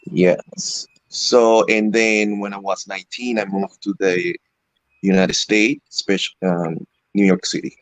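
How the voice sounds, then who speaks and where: Low-voiced Venezuelan-raised man, halting delivery. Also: fairly even intonation, dry recording, rough recording. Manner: halting, frequent "you know" fillers. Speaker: interview guest, electric skateboard rider. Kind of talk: casual video call interview.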